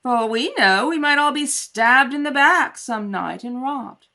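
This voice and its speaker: A woman's voice: high-pitched, animated, unhurried, pushed and bright, heavily acted. Woman playing a haughty, taunting young socialite. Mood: accusing, snide. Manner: cool, taunting, superior. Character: haughty, cruel spoiled young socialite daughter. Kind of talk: radio play acting, comedy.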